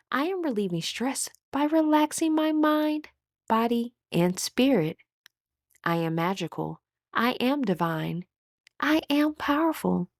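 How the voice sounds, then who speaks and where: A woman leading a scripted guided meditation as an instructor, with a measured, soothing, instructional delivery. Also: a little high, dry recording, clean studio sound.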